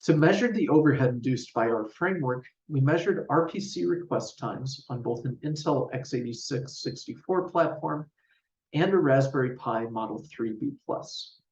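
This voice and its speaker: A man's voice: medium pitch, lively intonation, normal speed, a little mellow, muffled recording. Man presenting research, roomy distant sound. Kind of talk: scripted technical conference presentation.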